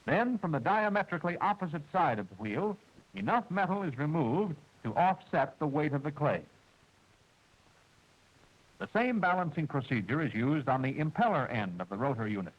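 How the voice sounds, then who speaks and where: A man's fairly high voice narrates a wartime instructional film with precise, formal diction and a lively, rising and falling delivery. His tone is husky, and the old soundtrack is dull and muffled with a bit of music beneath it. Every step is explained in a measured, steady manner.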